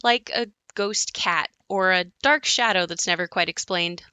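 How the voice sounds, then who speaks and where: Actress as a woman recalling her haunted childhood, reflective audio play monologue, measured, really dry close sound. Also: fairly low pitch, bright, forceful tone, smooth, dry recording. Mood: wry, reflective.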